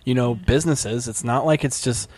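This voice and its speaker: A man's voice: medium pitch, moderate pace, a little mellow, no room sound. A young man in casual chat, easygoing, who acts out the voices he quotes.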